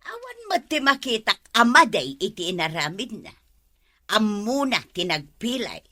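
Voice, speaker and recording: A woman's voice: medium pitch, big pitch swings, normal speed, bright, forceful tone. A woman acting an exasperated, scolding village wife in an Ilocano comedy drama, with an Ilocano accent. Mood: dry, skeptical.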